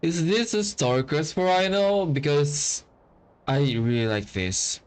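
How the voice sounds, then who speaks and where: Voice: medium pitch, slow pace, a little hiss or hum. A game streamer of unclear sex giving casual, slangy and blunt reactions while playing. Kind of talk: casual gameplay reaction commentary.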